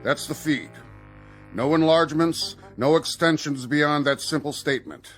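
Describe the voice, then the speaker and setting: An actor playing a stern Air Force general in a radio drama, speaking in a deliberate, formal, official manner. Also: male voice, a little high, a bit bright, faint music behind. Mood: commanding.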